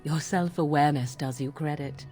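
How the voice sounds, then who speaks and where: A woman's voice: low voice, moderate pace, music in the background, lightly acted. An actress playing a cool, sardonic witch who makes dry, cutting remarks in a video game.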